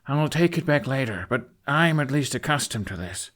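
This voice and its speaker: A man voicing an anxious, commanding young dragon rider in an audiobook, with short, sharp delivery. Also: mid-range voice, animated, moderate pace, voice pushed up. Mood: quieter concern. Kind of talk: audiobook character acting.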